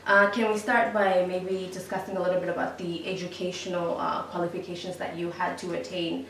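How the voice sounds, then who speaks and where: A woman hosting an interview, asking measured questions and encouraging the guest with frequent short replies. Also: on the low side, little pitch movement, a bit bright. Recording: echoey room, distant mic, faint noise.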